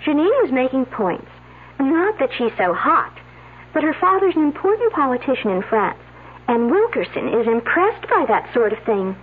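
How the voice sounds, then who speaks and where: Breathy, offhand college girl. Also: sits high, sing-song, moderate pace, full character voice. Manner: offhand, dismissive. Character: flippant young female college student, cool and gossipy. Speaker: student at a dig. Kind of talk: 1970s radio drama acting. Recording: tinny old radio broadcast.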